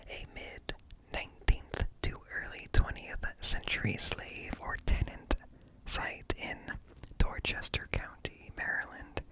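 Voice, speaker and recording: A man reading aloud in a hushed ASMR whisper, close to the microphone, pausing between short phrases. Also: low-quality recording. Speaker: ASMR creator reading encyclopedia articles aloud. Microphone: close-up microphone with ear-to-ear stereo.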